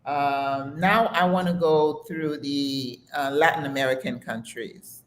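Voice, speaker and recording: An older woman from Haiti, a retired nurse, telling stories in a relaxed, casual conversation with many fillers. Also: low voice, takes it slowly, a little hiss or hum.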